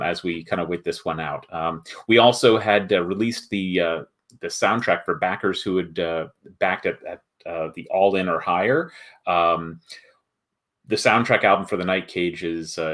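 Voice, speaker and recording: Man, lower-pitched voice, informal livestream host, halting delivery, thinking aloud. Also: fairly bright tone. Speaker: host of a board game company livestream. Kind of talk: casual livestream chat with viewers.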